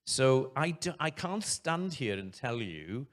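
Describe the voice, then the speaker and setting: A man, a head teacher giving an earnest conference talk from a stage, pausing often. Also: mid-range voice, sing-song.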